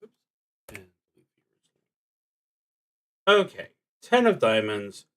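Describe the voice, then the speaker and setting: A man streaming a solo tabletop game, thinking aloud in a halting, deliberate way and often trailing off. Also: lowish voice, very animated, fairly bright tone. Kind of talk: thinking aloud while playing a solo card and dice game.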